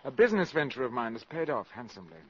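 An actor playing a calculating, ambitious valet in a 1950s radio drama, speaking formally and deferentially but with self-assurance. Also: male voice, mid-range voice, very animated, racing, breathy edge. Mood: coolly persuasive. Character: smooth, calculating, ambitious valet addressing a countess. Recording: muffled vintage 1950s radio recording.

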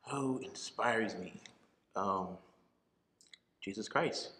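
Liberian-born man, low voice with a bright edge, relaxed interview guest. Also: very slow, in a large hall. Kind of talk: casual interview chat.

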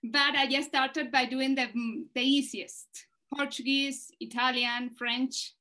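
A woman with a non-native English accent, speaking as a panelist and giving earnest, measured advice. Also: sits high, little pitch movement, bright, forceful tone. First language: not English.

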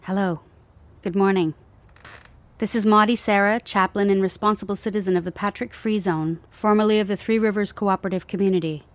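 A woman playing an earnest, measured community chaplain sending a radio message from a crumbling world in an audio drama. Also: mid-range voice, fairly even intonation, no echo, close to an everyday voice. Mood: grave, steady.

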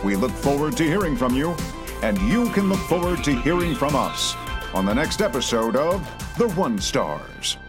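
A man's voice: mid-range voice, sing-song, normal speed, music in the background. A man reading scripted podcast announcements and credits as the show's announcer.

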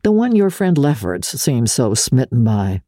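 A woman's voice: extremely low pitch, very animated, measured pace, mellow, no echo, clean studio sound, pitched below the performer's own voice, full character voice. A woman voicing a wry, shabby male journalist with an offhand, sardonic conversational manner in an audiobook of a classic novel. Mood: casually curious.

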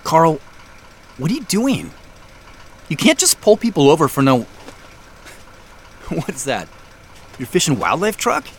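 An actor playing a wealthy company boss on a mysterious quest, in a naturalistic audio drama. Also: male voice, fairly high pitch, big pitch swings, slow, pauses often, a little hiss or hum, heavily acted. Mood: indignant.

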